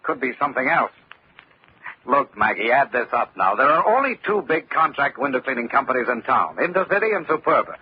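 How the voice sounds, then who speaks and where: An actor playing a shrewd, informal insurance investigator in an old-time radio drama, on a muffled old recording. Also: male voice, mid-range voice, big pitch swings, a little fast, breathy edge. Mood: pondering.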